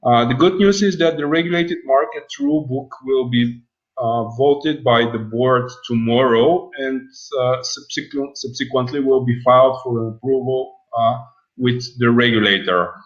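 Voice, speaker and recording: Halting man, dull lo-fi audio. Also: mid-range voice. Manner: hesitant, halting delivery with long pauses. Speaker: company presenter. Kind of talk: business presentation on an earnings call.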